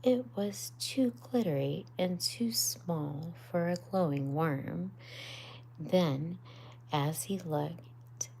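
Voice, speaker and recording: A woman reading a bedtime story aloud in a soft, hushed voice that drops almost to a whisper, speaking up for the characters' lines. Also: deep voice, gravelly, a little hiss or hum, poor audio. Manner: soft, hushed narration, often near a whisper. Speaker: storybook reader on a live stream.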